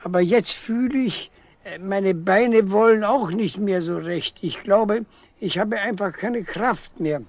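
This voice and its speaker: Frail old man, creaky and slow. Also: high-pitched, dry recording. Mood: tired, reflective. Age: older. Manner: hesitant, halting, plain-spoken. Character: frail, weary old village father, proud and modest. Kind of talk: 1970s German radio play acting.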